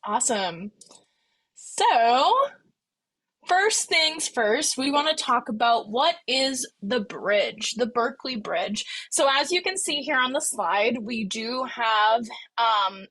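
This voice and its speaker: Bright, upbeat woman presenting. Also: a little high, expressive, slow, no echo, low-quality recording. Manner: upbeat and chatty, with frequent ums. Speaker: student success advisor. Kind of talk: presenting an online info session.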